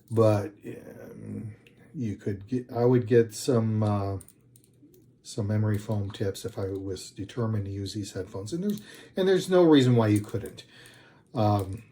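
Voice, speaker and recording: A man giving a casual, unscripted product review, speaking in a halting, hesitant way and often correcting himself. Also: on the low side, warm tone, slight background noise. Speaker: product reviewer, channel host.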